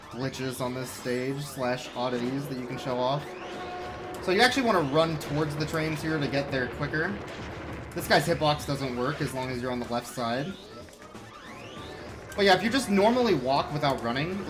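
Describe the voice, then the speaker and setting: A male speedrunner chats casually through a live run, full of dry jokes and sarcasm. Game music plays clearly behind his voice, and his pitch sits in a middle range.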